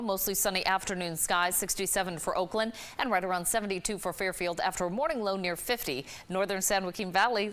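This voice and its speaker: A woman's voice: medium pitch, fairly bright tone. An American woman giving an ad-libbed TV weather report in a conversational, upbeat way. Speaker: TV weather presenter. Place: TV news studio.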